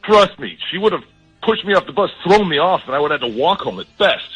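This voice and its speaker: Husky, halting man on a call. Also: sits high, lively intonation, fairly bright tone, soft background music, rough recording. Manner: halting, repeats words. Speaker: caller on a radio show. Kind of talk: casual phone conversation.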